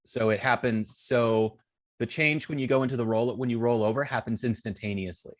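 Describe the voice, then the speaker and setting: A man's voice: medium pitch, brisk, no room sound. A man teaching an informal hands-on coding lesson, thinking aloud hesitantly and trailing off mid-sentence. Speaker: web design instructor.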